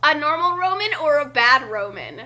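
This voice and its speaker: A woman casually reading fan fiction aloud and chatting, rambling excitably and stumbling over words. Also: high-pitched, moderate pace.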